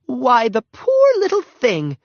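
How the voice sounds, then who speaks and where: Guy doing a fussy old lady neighbor, way up high, all breathy gushing. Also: huge pitch swings, no room sound, exaggerated voice. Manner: high fluttery exclamations, drawn-out words. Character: fussy older woman neighbor, gushing and apologetic. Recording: dull lo-fi dry recording.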